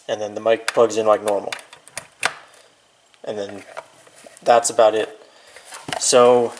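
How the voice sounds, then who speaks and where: Male DIY presenter, mid-pitched, informal and offhand, walking through a hands-on demo with some background noise. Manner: informal, offhand, thinking aloud while handling gear. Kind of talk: casual hands-on how-to demonstration. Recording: clear background noise, clicks of handling.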